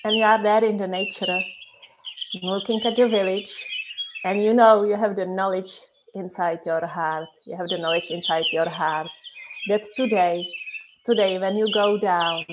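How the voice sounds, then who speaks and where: A woman whose first language is Czech, guiding a meditation slowly and softly, repeating her phrases with long pauses. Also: medium pitch, steady tone, background noise. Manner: slow, soft and repetitive, with many pauses. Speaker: meditation guide. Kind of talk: guided meditation.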